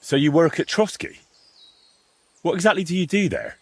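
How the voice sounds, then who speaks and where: A young medieval adventurer in a game, husky and plain-spoken. He talks bluntly with very mobile intonation, and the sound is dry and close. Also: a little high, wildly swooping pitch, normal speed, dry recording, exaggerated voice. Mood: probing. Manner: blunt, questioning. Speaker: video game protagonist. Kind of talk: game cutscene dialogue.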